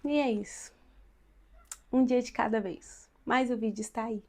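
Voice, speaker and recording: A woman's voice: fairly high pitch, a little slow, a little mellow. A woman in her late twenties from São Paulo with a São Paulo accent, a teacher giving viewers chatty, encouraging advice in a casual vlog. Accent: São Paulo Brazilian Portuguese. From São Paulo, Brazil. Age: late 20s. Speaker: vlogger and Portuguese teacher.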